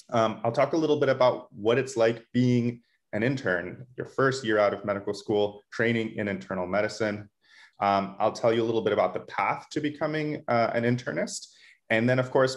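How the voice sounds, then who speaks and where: A young man from Florida with an American accent, presenting a webinar in a conversational, earnest and explanatory way as a medical tutor. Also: on the low side. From Florida, United States. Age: young adult.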